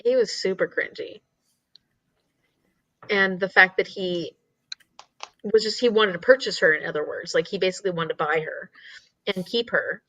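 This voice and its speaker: A woman with a bright voice talks as co-host of a casual book discussion. She explains in an animated way, circling back on her words for emphasis. The sound is dry and a little lo-fi. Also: lowish voice, dry recording.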